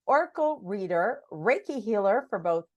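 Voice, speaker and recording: A woman's voice: medium pitch, very animated, moderate pace, dull audio. A woman hosting a podcast in a chatty, effusive, enthusiastic manner. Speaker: podcast host.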